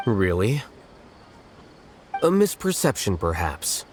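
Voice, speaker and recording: Aloof, low-voiced scholar. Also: male voice, a little hiss or hum. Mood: detached. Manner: deadpan, measured, dismissive. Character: aloof, coolly rational young male scholar official. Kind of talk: video game voice acting. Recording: music behind the voice.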